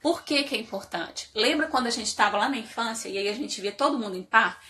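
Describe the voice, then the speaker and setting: A woman's voice: on the high side, expressive, brisk, pushed and bright, slight background noise. A woman with a cold and a nasal voice, giving an informal motivational talk and asking her audience many rhetorical questions. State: has a cold. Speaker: speaker giving a live talk on life stages.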